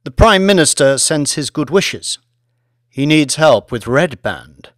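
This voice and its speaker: Audiobook role: deferential bank director, read by a man, polite, formal, lively intonation, dry close sound. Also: a little high, takes it slowly, dry recording. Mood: sober reporting. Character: loyal, deferential male bank director, employee of lord. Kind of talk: audiobook character acting.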